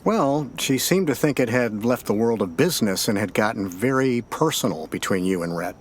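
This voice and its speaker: An actor playing a steady, probing American sheriff's detective in an audio drama, questioning in a measured, matter-of-fact way. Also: male voice, medium pitch, slight background noise, close to an everyday voice.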